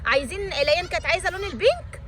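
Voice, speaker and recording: A woman's voice: very high-pitched, animated, very fast, very noisy. A woman with an Egyptian Arabic accent hosting a family unboxing vlog, chatting casually with children and coaxing them with questions.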